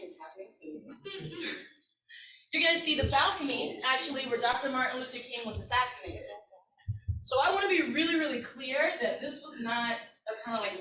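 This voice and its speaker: Bright-voiced woman presenter in an echoey room. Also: fairly high pitch. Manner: earnest, conversational, checks in with the audience. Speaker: workshop presenter. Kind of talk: personal storytelling talk. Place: conference room with an audience. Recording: echoey room, distant mic.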